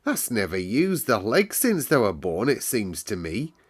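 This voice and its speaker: A man's voice: lowish voice, sing-song, measured pace. A man voicing a cheerful, chatty young Yorkshire housemaid in broad dialect for a children's storybook. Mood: chatty, proud. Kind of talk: children's storybook read-aloud.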